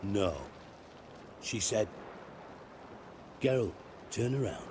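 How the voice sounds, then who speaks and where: An actor playing a coarse, heavyset middle-aged spymaster in a video game, wry and theatrical, with a mocking manner. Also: male voice, lowish voice, expressive, very slow, noisy background. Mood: wry, confiding. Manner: mocking, crude, wordy storytelling. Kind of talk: video game cutscene dialogue.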